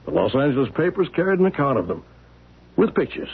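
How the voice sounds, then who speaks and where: An actor playing a calm, patient man in a 1970s radio drama who explains things in a measured way, with a husky voice. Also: medium pitch, full character voice. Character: calm man named Matt, patient, mysterious. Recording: lo-fi broadcast sound, phone-like.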